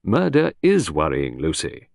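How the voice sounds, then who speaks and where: A man doing a grave, weary older gentleman. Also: extremely low pitch, wildly swooping pitch, a little slow, gravelly, no room sound, studio quality, exaggerated voice.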